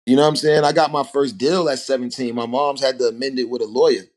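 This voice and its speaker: Forties male rapper guest, mid-pitched, loose and animated storyteller. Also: fast talker. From Harlem, New York. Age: 40s. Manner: animated storytelling, rhetorical asides. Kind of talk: casual podcast conversation.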